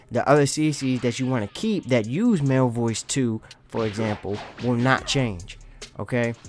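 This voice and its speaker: A man narrating a step-by-step gaming tutorial in an instructional way, often checking in with the listener as he goes. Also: medium pitch.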